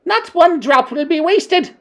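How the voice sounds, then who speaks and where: A woman's voice: very high-pitched, lively intonation, a little slow, fairly bright tone, pitched above the performer's own voice, full character voice. A screeching tyrant witch queen. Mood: commanding. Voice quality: screeching, commanding.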